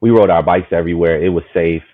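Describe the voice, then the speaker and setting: A man in his thirties from Maryland, a guest telling his story in a lively, rambling way, full of "you know what I'm saying". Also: low-pitched, fast, dry recording. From Maryland, USA. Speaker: guest, community market founder. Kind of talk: casual storytelling conversation. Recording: tinny, phone-like, dry.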